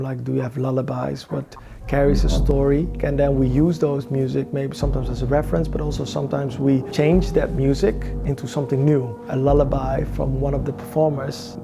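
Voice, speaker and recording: Young man, choreographer; gravelly tone, careful English; clear music bed behind. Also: medium pitch. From Somalia. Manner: thoughtful, searching for words, non-native English phrasing. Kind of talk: reflective interview talk.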